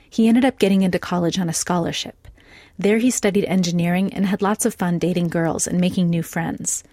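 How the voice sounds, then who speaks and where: Low-voiced woman narrating a documentary. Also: darkish tone, no room sound, studio quality. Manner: measured and clear.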